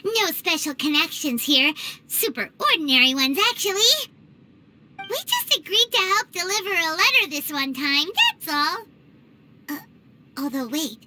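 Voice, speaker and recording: A woman voicing a tiny, excitable female fairy companion in a video game, with a squeaky, childlike, bubbly delivery. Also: unhurried, background noise, exaggerated voice. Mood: sheepish. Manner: speaks of herself in third person, bubbly. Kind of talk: video game character acting. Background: game music.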